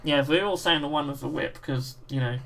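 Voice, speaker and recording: A British man with a croaky voice hosting a livestream, chatting casually and reading out viewer messages while painting miniatures. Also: a little high, a little fast. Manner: relaxed, reads out chat messages. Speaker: livestream host.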